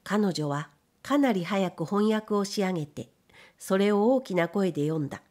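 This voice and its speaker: Female audiobook narrator, mid-range pitch, scripted literary reading, storytelling delivery. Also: expressive, normal speed, a little mellow.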